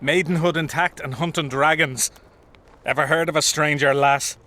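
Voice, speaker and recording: Bright, coarse soldier. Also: male voice, on the high side, steady tone, moderate pace, a little hiss or hum. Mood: scornful. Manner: coarse, colloquial, combative. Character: blunt, boozy, grumbling common soldier in a fantasy army. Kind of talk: video game dialogue acting.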